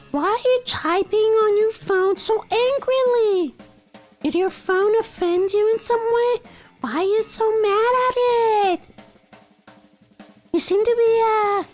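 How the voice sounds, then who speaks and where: Squeaky, sheltered young princess. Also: male voice. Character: naive, sweet, sheltered young monster princess.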